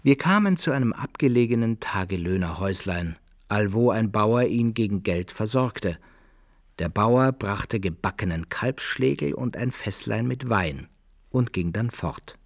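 An actor playing an adventurer who recounts his brawls and travels in measured, old-fashioned literary radio drama narration. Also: on the low side, expressive, vocal fry, no echo, studio quality, full character voice. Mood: measured recounting.

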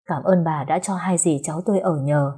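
A woman's voice: low voice, a little fast, warm tone, husky. A woman playing a caring older aunt in an audio drama, speaking in a worried, pleading manner.

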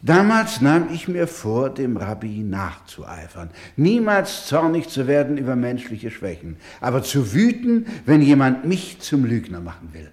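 Slow, solemn male storyteller. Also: medium pitch, wildly swooping pitch. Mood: resolute. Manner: grave, deliberate, emphatic, dropping to hushed tones. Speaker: actor in a radio play. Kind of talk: slow, dramatic radio-play narration.

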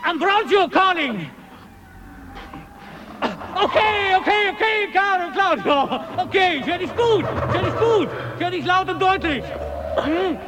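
A man's voice: extremely high pitch, expressive, a little slow, background noise. An actor playing a dogged male expedition adventurer in a radio play, calling out in short, repeated phrases. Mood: urgent, businesslike.

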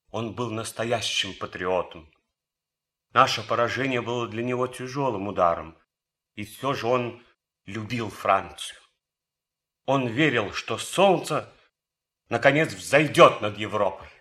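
Slow, earnest idealist German officer. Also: male voice, on the low side, pushed and bright. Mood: wistful. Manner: earnest, deliberate, theatrical delivery. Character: idealistic, earnest German officer and composer who loves France. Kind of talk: radio drama monologue.

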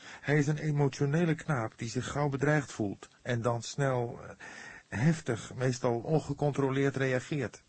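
A man's voice: medium pitch, a little slow, darkish tone, breathy edge, no room sound, voice pushed up. A man voicing a firm, earnest and defiant young woman in an audiobook. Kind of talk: audiobook character dialogue.

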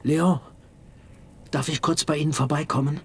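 An actor playing a desperate, haunted middle-aged hatter in a German radio play, brooding and pleading in an intimate way. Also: male voice, a little high, steady tone, normal speed, slight background noise, lightly acted. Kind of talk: German radio play acting with inner monologue.

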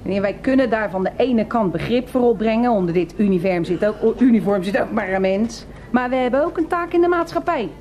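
A woman plays a self-important female security guard in a radio play. She speaks in a fairly high voice with pompous, formal phrasing. Every sentence carries petty authority. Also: fairly quick, few pauses, darkish tone, close to an everyday voice. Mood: officious. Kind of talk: radio drama acting.

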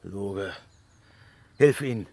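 An actor playing a proud, stubborn ruler of the gods in a German fantasy radio play, grave and commanding, with a husky voice. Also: male voice, fairly low pitch, very slow, a little mellow, full character voice. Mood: weary, commanding.

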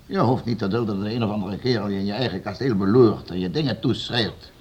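Domineering nobleman, deep-set husky voice, commanding, scornful, radio play delivery. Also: fast talker, darkish tone. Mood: self-assured. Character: stern, domineering male nobleman, bullying and sure of himself.

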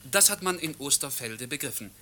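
A man's voice: medium pitch, lively intonation, brisk, pushed and bright, a little hiss or hum. A man playing an earnest, official broadcast reporter announcing a formal news item within a radio play.

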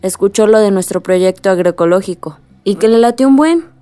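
An actress playing a spirited young Mexican village woman in a radio drama, expressive and colloquial. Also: mid-range voice, fairly quick, smooth, close to an everyday voice. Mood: chatty.

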